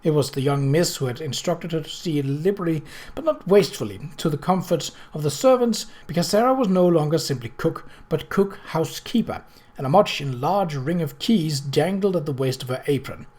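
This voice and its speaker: Male narrator for storybook prose, voice pitched a little high. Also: expressive, brisk, darkish tone. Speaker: audiobook reader.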